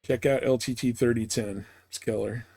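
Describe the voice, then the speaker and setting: A man's voice: mid-range voice, fairly even intonation, normal speed, creaky, warm tone, slightly rough. A man chatting casually and informally on a live game stream, rambling through his explanations.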